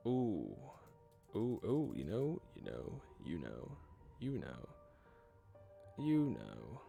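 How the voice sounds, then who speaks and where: A young man plays a horror game live, reading the story aloud, then chatting with viewers in a loose, swear-heavy way. His pitch jumps around a lot, with soft music under him. He talks into a headset in a small room. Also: vocal fry. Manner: swears often, laughs, banters with chat. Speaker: streamer.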